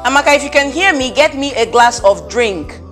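A woman's voice: sits high, animated, fairly quick, a bit bright, roomy sound, faint music behind. An actress with a Nigerian accent playing a spoiled, short-tempered young madam in a screen drama, haughty and exasperated as she scolds. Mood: irritated, demanding. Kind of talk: melodramatic screen drama acting.

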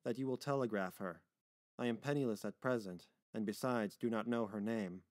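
A man's voice: fairly low pitch, normal speed, no echo, studio quality, lightly acted. Man playing a weary, earnest sailor. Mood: polite, earnest. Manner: polite, earnest.

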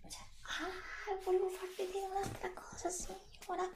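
A middle-aged woman whispers in a breathy, high-pitched voice. She plays an attentive shop assistant in an ASMR roleplay, gently advising a customer at a slow pace. The room adds a noticeable echo. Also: completely flat delivery, noisy background. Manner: gentle, attentive sales patter to an imagined customer. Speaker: ASMR creator playing a clothing shop assistant.

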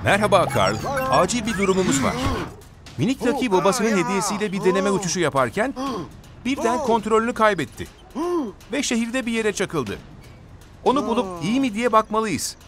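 A man doing a bright, lively cartoon helper. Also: high-pitched, moderate pace. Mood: cheerful. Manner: upbeat, encouraging, questioning. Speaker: narrator and helper character in a children's cartoon. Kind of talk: dubbed children's cartoon dialogue. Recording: studio voice under loud music.

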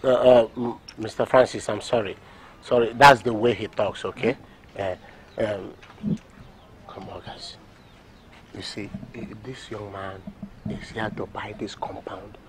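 A man's voice: high voice, sing-song, moderate pace, noisy background. An actor with a Nigerian accent playing a defiant, scheming man in a film drama, speaking emphatically and repeating himself. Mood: placating, hushed. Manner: emphatic, repetitive. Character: defiant, scheming man, self-styled progressive, quick to swear oaths.

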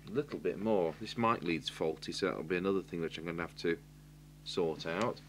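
A man's voice: low voice, fairly quick, heavy background noise. A man with an English accent giving an informal hands-on repair demonstration, matter-of-fact and thinking aloud as he works. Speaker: radio repair technician, presenter. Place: indoors at a workbench.

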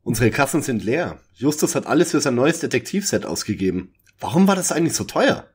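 Guy as a sensible doubting teen detective, husky, lively pitch, radio play spoof. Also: brisk. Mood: reproving. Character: sensible, sceptical teenage boy detective. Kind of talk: audio drama parody acting.

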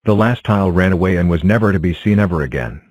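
A man narrating and voicing number characters in a fan cartoon with a plain, even delivery. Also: deep voice, fast, no echo.

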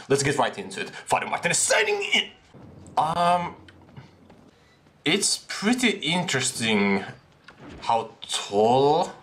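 Young dude streaming a horror game, excitable, headset mic, keyboard clicks behind him. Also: male voice, fairly low pitch, a little hiss or hum. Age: young adult. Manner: excitable, laughs often, slangy. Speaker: gaming streamer. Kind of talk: casual gameplay commentary. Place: small room at a desk.

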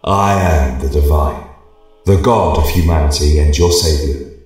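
A man's voice: very deep voice, unhurried, darkish tone, pitched below the performer's own voice. A man voicing a solemn, commanding god of light in grand, declamatory fantasy storytelling, with heavy reverb on the voice. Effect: heavy echo reverb. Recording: heavily echoing, distant voice. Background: choral music.

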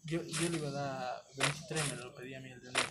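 A man chatting casually during a live game stream, telling a story in an animated way. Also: mid-range voice, quick pace. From Argentina. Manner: animated storyteller, mimics others. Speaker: tournament streamer. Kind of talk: casual chat over live gameplay. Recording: loud background noise.

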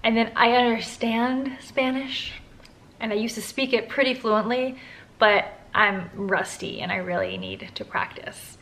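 A woman's voice: fairly high pitch, expressive, a little slow, fairly bright tone, rough recording. Chatty woman in her thirties, filmed at home. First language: English. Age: 30s. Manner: candid, chatty, frequent "like" fillers. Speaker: vlogger answering viewer questions. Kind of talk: casual Q&A chat to camera. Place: living room at home, indoors. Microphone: camera at close range.

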